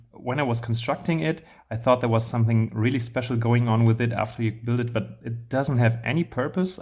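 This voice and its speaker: A man with a hoarse, recovering voice, hosting a casual review of a building set, hesitant with many fillers but enthusiastic. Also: medium pitch. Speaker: channel host reviewing building sets. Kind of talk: casual product review vlog.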